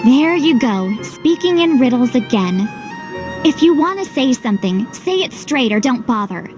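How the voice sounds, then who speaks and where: A woman's voice: high voice, very animated, normal speed. A woman voicing a blunt, modest young heroine in a video game, casual and dutiful. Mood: irritated. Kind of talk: English video game voice acting. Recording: game music under the voice.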